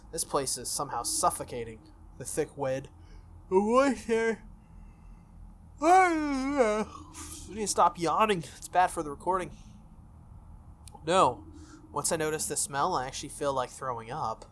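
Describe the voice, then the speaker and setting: A man's voice: fairly high pitch, a bit bright, a little hiss or hum. A man reading a visual novel aloud as a let's play host, with casual irreverent asides.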